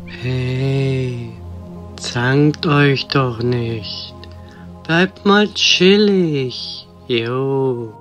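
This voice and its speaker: A man acts out a hippie peace god in a parody audio drama. He drawls extremely slowly and casually, utterly relaxed, with music playing underneath. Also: mid-range voice. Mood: laid-back, soothing. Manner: slow, drawling slacker talk.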